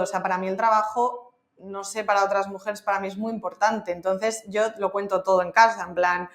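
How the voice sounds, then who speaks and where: A woman's voice: medium pitch, reverberant. A woman with a Spanish accent from Spain, a podcast guest talking candidly and casually, with lots of fillers. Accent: Spain, Castilian Spanish. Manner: candid, chatty, many fillers like "o sea" and "en plan". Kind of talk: casual interview conversation.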